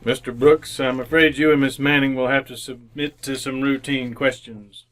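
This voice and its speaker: A man playing a shrewd, methodical police inspector in an old-time radio mystery, with a measured, formal way of questioning. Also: mid-range voice, steady tone, a bit bright, soft background music, natural voice. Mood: probing, formal. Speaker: amateur radio actor.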